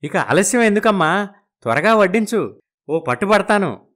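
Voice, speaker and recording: A man's voice: high-pitched, sing-song, normal speed, a bit bright. A man playing a genial, food-loving village brother-in-law in a moral story, effusive and full of exclamations. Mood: eager, agreeable.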